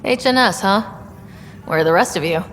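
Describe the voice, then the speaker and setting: An actress playing a composed, friendly female space explorer and leader in a video game, calm, direct and inquisitive. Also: medium pitch, normal speed, natural voice. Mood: curious. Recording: reverberant hall with faint noise.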